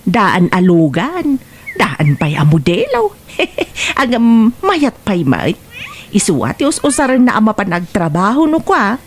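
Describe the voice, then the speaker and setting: A Filipino woman playing a proud, protective middle-aged mother in a comedic radio drama, expressive and full of exclamations. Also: medium pitch, unhurried. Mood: proud, chatty. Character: proud, protective village mother, easily flustered. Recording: faintly noisy radio drama track.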